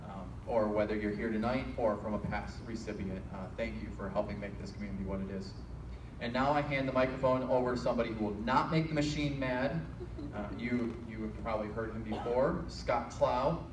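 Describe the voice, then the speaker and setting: A man giving informal remarks at an awards event, halting and full of fillers. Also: fairly low pitch, distant mic. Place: large indoor event room. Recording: echoey event hall, mic from afar, noisy.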